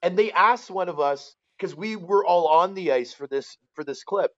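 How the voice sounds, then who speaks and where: A man in his forties with a Canadian accent, telling stories casually as a podcast guest, colloquial and animated, often checking that the listener follows. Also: sits high, normal speed, no room sound, muffled recording. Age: 40s. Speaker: former pro hockey player, interview guest.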